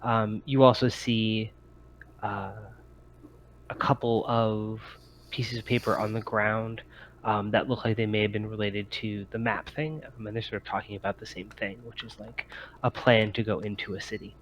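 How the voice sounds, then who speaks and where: A man acting as game master, improvising descriptions for a tabletop role-playing game in a halting way. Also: lowish voice, level tone, a little hiss or hum.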